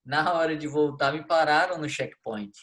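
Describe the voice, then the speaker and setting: A Brazilian man in his thirties, a guest on a podcast, telling stories in a lively way, acting out dialogue and laughing often. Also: fairly high pitch, normal speed. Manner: animated storyteller, acts out dialogue, laughs a lot. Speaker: football coach, guest. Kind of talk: storytelling in a podcast interview.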